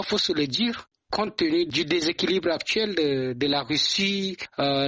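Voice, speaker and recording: A man from Chad giving his view on a radio program, measured and hesitant with frequent fillers. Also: on the high side, fairly bright tone, no room sound, lo-fi sound. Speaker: radio commentator.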